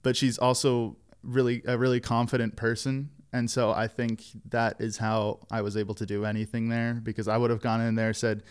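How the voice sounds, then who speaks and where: A young man chatting casually on a podcast, relaxed and a bit hesitant. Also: mid-range voice, normal speed, hardly any pauses, smooth. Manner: relaxed, hesitant, with fillers and restarts. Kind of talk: casual chat.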